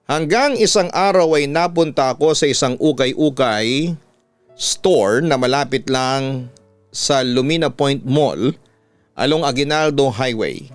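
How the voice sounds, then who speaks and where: A Filipino man with a mid-pitched voice narrates a horror letter like a radio announcer. He tells it in a measured, dramatic way with deliberate pauses. Instrumental music plays softly underneath. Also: clear, resonant tone, dry recording. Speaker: radio announcer and host reading listener letters.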